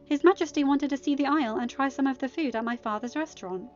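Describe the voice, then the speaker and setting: A woman's voice: high-pitched, fast talker, darkish tone, highs cut off, faint music behind, pitched above the performer's own voice, close to an everyday voice. A woman voicing a proud young woman who speaks coolly and pointedly in a storybook reading. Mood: confident. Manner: cool, pointed. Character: proud young woman, cool, sharp, defensive of her father.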